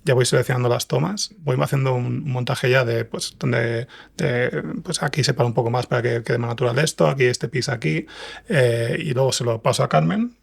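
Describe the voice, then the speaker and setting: Fast-talking man from Spain, gravelly and raspy. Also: mid-range voice, steady tone. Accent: Spanish (Spain). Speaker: podcast writer and director, interview guest. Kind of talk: casual conversation.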